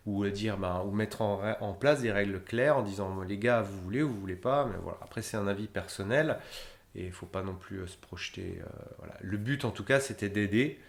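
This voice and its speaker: A man's voice: lowish voice, a little fast, creaky. A man in his thirties, a humanitarian logistician, answering interview questions in a rambling way with many fillers and restarts. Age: 30s. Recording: roomy echo.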